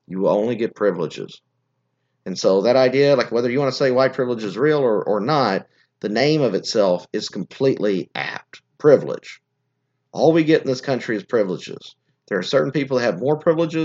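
A hoarse, scratchy-voiced man hosts a political podcast, holding forth in a rambling, opinionated monologue that keeps stopping and restarting. His pitch sits at an ordinary middle level. The recording sounds slightly muffled and lo-fi. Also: a little mellow. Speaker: podcast host.

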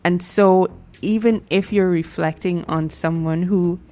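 A woman's voice: lowish voice, steady tone, measured pace, darkish tone, soft background music. A Guyanese woman co-hosting a morning radio show, chatting casually and reading out listener messages. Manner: upbeat, reads listener messages aloud.

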